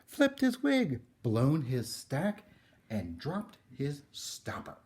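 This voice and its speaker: A man voicing exasperated, fussy neighbours from a storybook, complaining in an exaggerated, drawn-out way. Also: a little high, wildly swooping pitch, a little mellow, pitched above the performer's own voice, heavily acted. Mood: scandalized.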